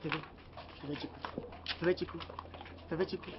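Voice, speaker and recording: A man's voice: a little high, steady tone, normal speed, heavy background noise, lightly acted. A man playing a giggly, pushy flirt. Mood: flirtatious. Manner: laughing often, playful teasing. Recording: dull audio with faint ambience.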